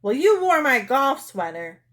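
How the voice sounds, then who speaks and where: Storybook wife and mother, played by a female reader: childish, quarrelsome, pitched high, squealing and wailing. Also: sing-song, measured pace, a bit bright, full character voice. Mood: whiny, bawling. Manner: shrill squealing, wailing, whiny.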